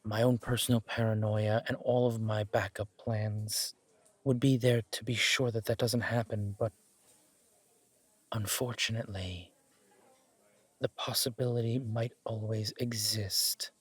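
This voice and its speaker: A man playing an earnest, protective, wry mafia boss on a first date in a romantic audio roleplay, speaking in a measured, intimate way. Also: lowish voice, fairly even intonation, breathy edge, dry recording. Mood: earnest, grave.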